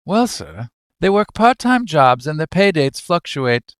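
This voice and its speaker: A man puts on a deferential grown son of a stern banker in a scripted drama. The voice is raised in pitch, meek and hesitant, always polite, recorded dry. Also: very animated, unhurried, a bit bright, smooth, no echo. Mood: meek, nervous.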